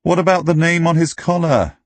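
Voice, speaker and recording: A man's voice: on the high side, brisk, no room sound, pitched above the performer's own voice, close to an everyday voice. A man voicing a sensible, challenging character in an audiobook, with a pointed, reasoning manner. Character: dry, sensible, challenging man in a village pub.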